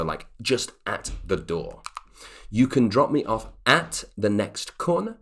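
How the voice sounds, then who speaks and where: A man teaching an online English grammar lesson, explaining patiently and checking in with the viewer as he goes. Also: fairly low pitch.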